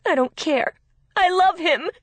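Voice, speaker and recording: A naive young bride-to-be, acted by a woman narrator, speaks high and tearfully. She pleads and defends herself with stubborn emotion, in a close, dry storybook recording. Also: expressive, normal speed, dry recording. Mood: sobbing, desperate.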